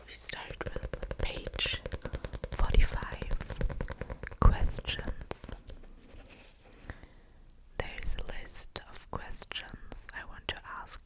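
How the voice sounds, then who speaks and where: A woman whispering breathily and softly in an ASMR style, in a hushed manner that lingers on and repeats words. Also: low-quality recording. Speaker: ASMR creator. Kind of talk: whispered ASMR, casual rambling and poem reading.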